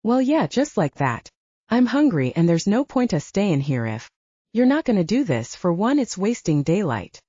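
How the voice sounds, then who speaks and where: A cocky male soldier, played by a woman narrator, playful and pragmatic in an audiobook drama. He banters and coaxes casually with very animated pitch and a warm, dark tone. The recording is slightly dull. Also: moderate pace, slightly rough, dry recording. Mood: coaxing.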